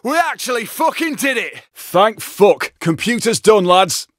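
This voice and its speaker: An actor playing a brash, foul-mouthed robber in a heist video game, with an English accent and a gruff, urgent delivery. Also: male voice, high voice, very animated, measured pace, fairly bright tone, dry recording, clean studio sound, full character voice. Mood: tense, commanding. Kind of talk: shouted video game combat callouts.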